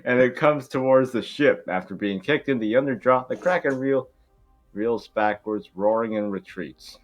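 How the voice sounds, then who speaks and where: A man's voice: mid-range voice, moderate pace, faint music behind. A man reading stage directions as narrator in an informal amateur script reading.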